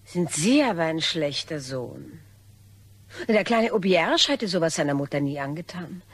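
An actress playing a calculating, mockingly courteous blackmailer in a German radio crime drama, with silky politeness and veiled threats. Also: female voice, sits low, sing-song, unhurried, full character voice. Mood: veiled menace.

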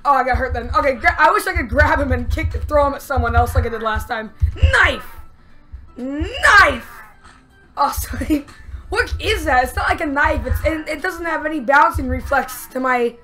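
A young woman giving excited gameplay commentary with a strained, worn voice, yelping and laughing at the action. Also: high voice, normal speed, rough recording. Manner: yelps and shrieks at the action, laughs a lot. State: strained, losing her voice. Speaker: let's play gamer. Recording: faint game music behind.

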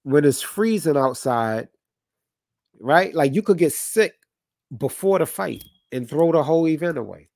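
A man's voice: a little high, lively intonation, measured pace, vocal fry, darkish tone, dry recording, clean studio sound. Stuffy-nosed man hosting a boxing stream. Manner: conversational, opinionated, reads chat comments aloud. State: stuffy from a cold. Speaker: boxing livestream host. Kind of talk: casual talk with live chat. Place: home room with colored lights.

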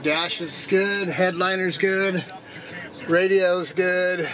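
A man's voice: sits high, fairly even intonation, takes it slowly, a crowd in the background. An older man giving a plainspoken, easygoing walkaround of a vehicle for sale as an auctioneer, filmed outdoors and in the cab on a handheld phone. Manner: easygoing, plainspoken, with fillers and restarts. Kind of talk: casual walkaround product talk. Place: outdoors in a gravel lot and inside a pickup cab. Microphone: handheld phone camera. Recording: noisy outdoor phone recording.

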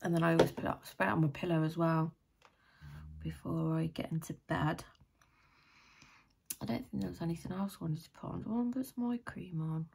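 A British woman in her thirties with a deep, croaky, tired voice, chatting casually and thinking aloud to camera as she vlogs at home. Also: steady tone, a little fast, long pauses, darkish tone, a little hiss or hum. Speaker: vlogger. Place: small room at home, indoors.

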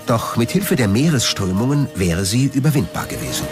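Man, documentary narrator, scripted delivery, animated melody, background music. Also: mid-range voice, brisk. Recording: music behind the voice.

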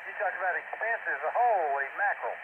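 Over a narrow, phone-like radio link with clear noise, a man chats casually. His pitch moves around in an animated way as he talks like a seasoned ham operator. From United States. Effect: two-way radio transmission.

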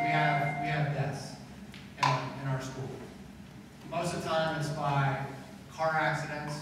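A middle-aged male pastor preaching to a school audience in a slightly high voice, chatty and repetitive, building emphasis as he goes. He is picked up from across a large echoey hall with a long reverb tail. A steady room noise hums behind him. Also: recorded from a distance. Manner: conversational preaching, repeats phrases, rising emphasis. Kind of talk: chapel sermon to students. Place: school auditorium stage with seated audience. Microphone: far camera in hall.

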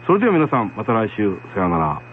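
A man's voice: lowish voice, wildly swooping pitch, quick pace. A man presenting a program, giving reflective commentary. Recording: muffled lo-fi with faint noise, hum.

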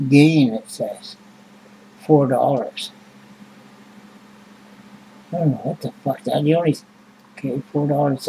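Gravelly, swearing man. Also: medium pitch, a little mellow, slight background noise, low-quality recording. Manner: rambling, swears a lot, reads prices off a receipt. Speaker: vlogger. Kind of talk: casual chat. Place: small home room indoors.

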